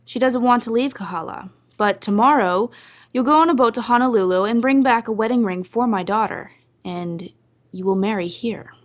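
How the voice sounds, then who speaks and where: A woman's voice: on the high side, moderate pace, natural voice. A woman playing a menacing older Hawaiian mother in an old-time radio melodrama, stern and terse in broken English. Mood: coolly commanding.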